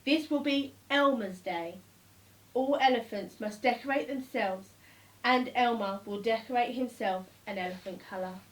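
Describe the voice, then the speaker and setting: A woman's voice: fairly high pitch, normal speed, lightly acted, poor audio. A woman voicing astonished, good-humoured elephants in a children's storybook, with fond, teasing, emphatic exclamations. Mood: celebratory.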